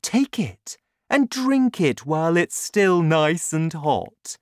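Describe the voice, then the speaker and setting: A woman's voice: deep voice, sing-song, takes it slowly, dry recording, studio quality, voice pushed up, full character voice. A woman voicing a sly, scheming father in a storybook, coaxing and falsely sweet.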